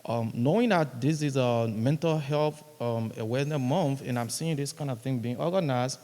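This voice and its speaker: A man from Liberia, asking a question from the audience in a formal, measured way with fillers. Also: medium pitch, warm tone. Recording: long hall reverb.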